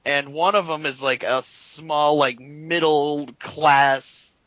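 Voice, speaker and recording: A man's voice: fairly high pitch, steady tone, takes it slowly, fairly bright tone, no room sound, low-quality recording. A man co-hosting a casual gaming podcast, rambling and filling his sentences with "like". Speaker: podcast co-host. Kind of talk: casual chat.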